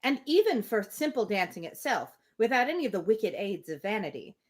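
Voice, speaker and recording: A woman reads a classic novel aloud as a livestream host. Her pitch moves in an animated way, and she slips easily between the written prose and playful, conversational asides. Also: brisk. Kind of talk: live read-aloud with casual asides and commentary.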